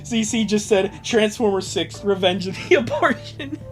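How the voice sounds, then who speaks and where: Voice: mid-range voice, animated, normal speed, faint music behind. A streamer giving casual, wry and exclamatory commentary during a live fan dub reading.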